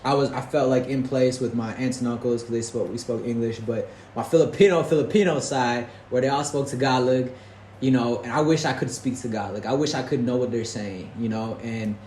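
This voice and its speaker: A man's voice: medium pitch, brisk, a little mellow. A man hosting a casual faith-filled conversation, talking in an earnest, rambling way with many restarts. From Detroit, United States. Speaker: podcast host. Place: roomy indoor space, recorded in Portugal. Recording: echoey room with faint background noise.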